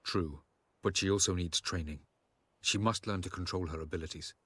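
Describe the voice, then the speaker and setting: A man's voice: low-pitched, moderate pace, a bit bright, husky. A man playing a stern, haughty elven sage, formal and condescending, in a fantasy video game. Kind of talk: video game cutscene acting.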